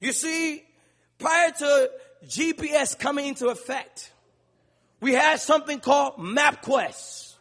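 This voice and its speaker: A man from Liberia preaching a sermon in a large church, calling to the congregation and rising into shouts. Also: very high-pitched, takes it slowly, gravelly. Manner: rhythmic call-and-response preaching, building to shouts. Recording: long church reverb.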